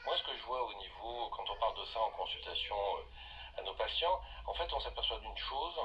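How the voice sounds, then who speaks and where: A man speaks as a medical guest on the radio, explaining with emphasis. His voice is low and forceful, with lively swings in intonation. The sound is slightly off-mic. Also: a little fast, dull audio. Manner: didactic, emphatic, restates points. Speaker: doctor, studio guest. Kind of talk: radio interview, explanatory talk. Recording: slightly distant radio sound, faint noise.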